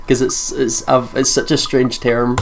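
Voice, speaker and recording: A woman's voice: rumbling low, little pitch movement, measured pace, background noise. Chatty Scottish woman playing a game. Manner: chatty, swears freely, laughs often. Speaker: let's play host. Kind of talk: casual gameplay commentary and reading aloud.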